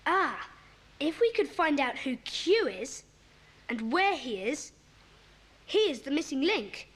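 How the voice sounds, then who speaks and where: A woman playing a bossy, eager schoolboy leader in a children's audio drama, brisk, commanding and earnest. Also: sits high, sing-song, a bit bright, full character voice. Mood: keen, earnest. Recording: hissy old cassette recording.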